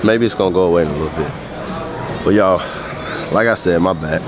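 A man's voice: sits low, brisk, roomy sound. A young man with a stuffy nose, talking casually to a handheld camera in laid-back slang. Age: young adult. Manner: laid-back slangy chatter, hyped greetings. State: congested. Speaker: vlogger. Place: small room indoors, then a big electronics store. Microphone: handheld camera at arm's length.

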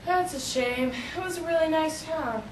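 A woman's voice: high-pitched, normal speed, recorded from a distance, close to an everyday voice. A young teenage girl playing an earnest, concerned doctor in an amateur school skit. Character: concerned doctor chatting with a colleague, woman. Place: small office meeting room indoors. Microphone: camera across the room. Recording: echoey, noisy camcorder from afar.

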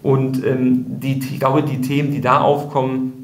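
A man's voice: medium pitch, completely flat delivery, unhurried. A man speaking as the guest in a podcast interview, explaining things thoughtfully and restarting sentences often. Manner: thoughtful, many fillers and restarts. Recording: roomy echo.

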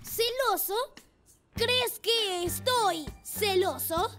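An eager little boy motorbike from a cartoon, very high-pitched and childlike. He talks slowly and earnestly with a competitive streak, with clear music behind him. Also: heavily acted. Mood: indignant, jealous. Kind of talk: children's cartoon dub acting.

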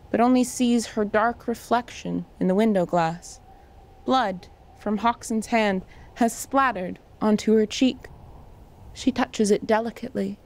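A woman host giving scripted dramatic narration of a story. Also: medium pitch.

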